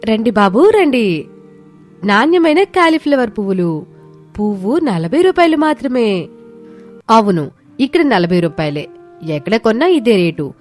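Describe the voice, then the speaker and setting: A woman's voice: fairly high pitch, very animated, measured pace, long pauses, a little mellow. A woman voicing a spirited young village daughter-in-law in a moral story, earnest and emphatic. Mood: firm selling. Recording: music behind the voice.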